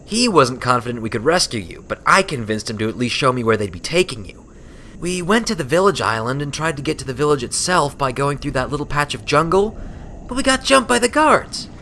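A man voicing a brash, tired young female pegasus adventurer in a storybook, telling her exploits in casual, animated speech. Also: mid-range voice, fairly quick, slight background noise, pitched above the performer's own voice. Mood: excited, stressed. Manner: animated, colloquial. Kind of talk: storybook character voice.

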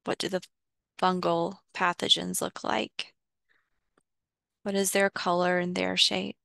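Slow, creaky-voiced woman guiding meditation. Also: lowish voice, little pitch movement, sharp tone, dry recording. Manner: measured, pausing between short phrases. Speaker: guided meditation host. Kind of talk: guided visualization, slow soothing instruction.

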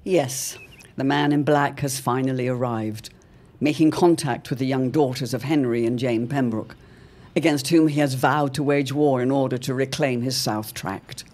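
A man narrating an old-time serial drama in a theatrical, portentous way with deliberate phrasing. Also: mid-range voice, a little mellow.

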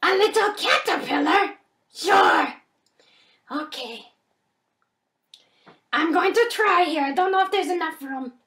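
A woman's voice: very high-pitched, lively intonation, slow, long pauses, a bit bright, voice pushed up, exaggerated voice. A woman voicing a polite, flustered dinosaur puppet who talks and sings in a theatrical children's puppet show. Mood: obliging, flustered. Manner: exclamatory, hesitant, theatrical. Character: shiny dinosaur puppet, polite, flustered, generous host at dinner. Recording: roomy home recording with background noise.

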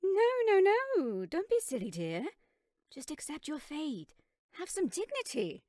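A woman's voice: sits high, huge pitch swings, unhurried, a little mellow, no room sound, studio quality, voice pushed up, heavily acted. A woman voicing a haughty female villain with a mock-sweet, patronising manner. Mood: mocking, condescending. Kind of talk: character voice reel, dramatic acting.

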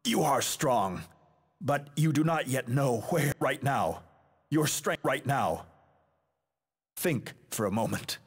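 A man's voice: mid-range voice, animated, slow, pauses often, slightly rough, big-room sound, exaggerated voice. An actor playing a proud, menacing male warrior in a fighting video game, delivering theatrical, haughty lines full of disdain for weaker foes. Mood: solemn, instructive. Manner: haughty, declamatory, dramatic.

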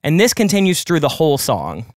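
A man of twenty-eight, a music producer hosting a tutorial, talking quickly and conversationally as he explains each production step from his home studio. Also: on the high side, huge pitch swings. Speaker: music producer and channel host. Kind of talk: screen-recorded production tutorial. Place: home studio with colored lights.

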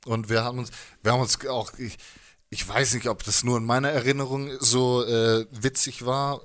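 A man's voice: medium pitch, flat delivery, moderate pace, fairly bright tone. A man, around his thirties, chatting casually as an interviewed musician, rambling and jokey in slangy speech into a handheld microphone. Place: living room music space, indoors.

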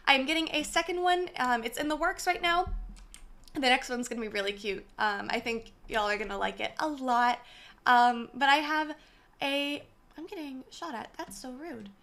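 A young woman in her twenties chatting casually on a gaming live stream, her voice slightly hoarse and worn, talking from a small room into a mic set far from her with the gain turned up. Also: high-pitched, moderate pace, fairly bright tone. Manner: chatty, apologetic, greets viewers by name. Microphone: desk mic set far from her, gain turned up.

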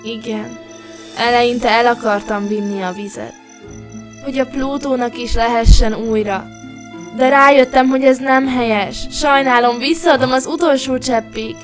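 A woman voicing a remorseful young alien princess in an animated fairy tale, earnest, emphatic and pleading. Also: fairly high pitch, soft background music, natural voice.

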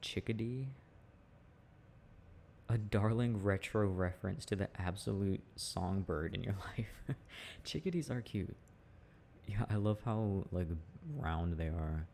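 A relaxed, teasing boyfriend played by a man in an intimate audio roleplay. His voice sits low with a gravelly fry, and he muses haltingly and casually. Some ambient noise sits behind him. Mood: relaxed musing. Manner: halting, musing, chuckling delivery. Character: teasing, easygoing boyfriend chatting with his partner.

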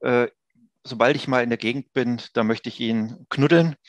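A man's voice: mid-range voice, fairly even intonation, normal speed, no echo. A man in his thirties chatting casually as a guest in an interview, speaking into a headset on a video call. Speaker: audio drama producer, interview guest. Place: indoor office room on a video call.

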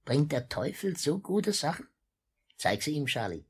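An actor playing a sly, wheedling old criminal fence in a 1950s German radio play, with a husky, insinuating delivery and a habit of repeating himself. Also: male voice, fairly high pitch, very animated, unhurried, full character voice.

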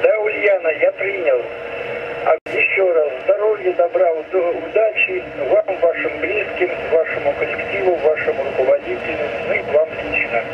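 A man's voice: sits high, moderate pace. A man working as an amateur radio operator, speaking courteously in formulaic phrases and spelled-out callsigns over a two-way radio. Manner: courteous, formulaic well-wishing. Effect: two-way radio transmission. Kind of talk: ham radio contact, spelling out callsigns phonetically. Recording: muffled, very noisy ham radio reception.

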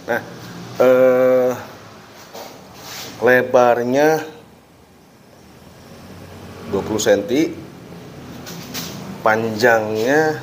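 A man's voice: mid-range voice, slight background noise, rough recording. A man in his forties hosting a casual review vlog in an indoor workshop, chatting and explaining things step by step with friendly asides. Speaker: DIY channel host. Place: workshop with tools on the wall, indoors.

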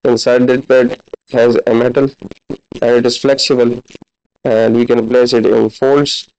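Guy in his 30s teaching a hands-on demo, mellow smooth voice, stop and start, bit lo-fi recording. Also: medium pitch, little pitch movement. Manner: halting, instructional. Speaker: physiotherapy instructor. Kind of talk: practical demonstration lecture. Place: large indoor classroom clinic room. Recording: dull, lo-fi camera audio.

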